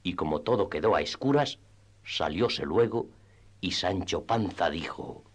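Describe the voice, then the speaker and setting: A man's voice: low voice, lively intonation, unhurried. A man narrating a classic literary tale for radio theatre in a scripted storytelling style.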